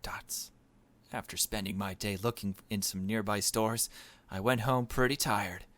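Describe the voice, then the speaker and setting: Guy doing a lets play, reads the game narration out loud and comments, slightly low voice. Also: fairly even intonation, unhurried. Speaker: gaming video host.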